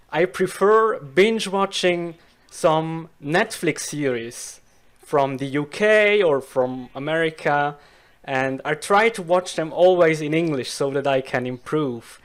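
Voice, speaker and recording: Slow-spoken Swiss man, careful English. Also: fairly high pitch. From Switzerland. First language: Swiss German. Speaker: guest. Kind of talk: casual interview chat.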